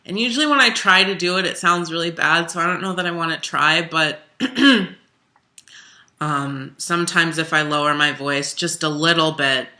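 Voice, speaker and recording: Bright-voiced young adult speaking candidly. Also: mid-range voice, normal speed. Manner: open and expressive, with frequent restarts and fillers. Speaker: singer and musical theater major talking about their own transition. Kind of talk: candid self-interview, reflective casual talk.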